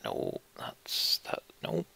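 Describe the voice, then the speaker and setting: A man doing let's play game commentary, reading on-screen text aloud and thinking out loud. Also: very slow, slight background noise.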